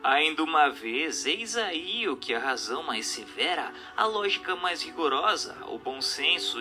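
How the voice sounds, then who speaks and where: A man's voice: a little high, pushed and bright. A Brazilian man reading a spiritual text aloud as an audiobook narrator, in a declamatory, didactic and emphatic manner. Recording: narration over music.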